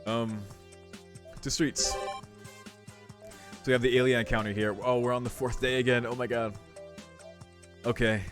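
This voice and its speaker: A man streaming a game, casually commenting and reading text aloud, thinking out loud as he goes. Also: on the low side. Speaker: let's play streamer. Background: game music.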